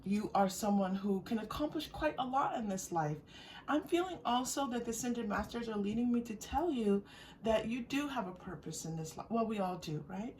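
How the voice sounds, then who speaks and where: A woman giving an unscripted, intuitive tarot reading straight to viewers, speaking gently and reassuringly in halting, searching phrases with repeated words. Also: on the high side, animated. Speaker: tarot reader. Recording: distant mic with faint background noise.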